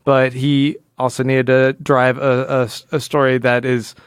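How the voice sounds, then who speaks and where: A man's voice: mid-range voice, little pitch movement, unhurried, smooth, no echo, studio quality. A man co-hosting a podcast, talking casually and analytically, often rephrasing himself as he explains. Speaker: podcast co-host.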